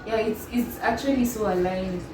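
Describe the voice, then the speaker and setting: A woman's voice: fairly high pitch, normal speed. A young woman talks with a close friend, affectionate and emphatic, and she keeps leaning on "like" and "literally." Her voice is picked up from a distance in a reverberant space, with a noisy background. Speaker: guest friend. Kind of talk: casual chat. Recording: roomy, distant mic, noisy.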